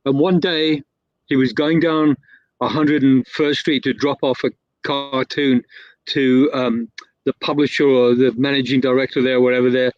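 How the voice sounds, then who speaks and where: A man's voice: medium pitch, little pitch movement, normal speed, no room sound. A man telling a long anecdote in a casual, rambling way, full of fillers and restarts. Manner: rambling, with many fillers and self-corrections. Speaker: guest storyteller. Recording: dull, lo-fi, dry sound.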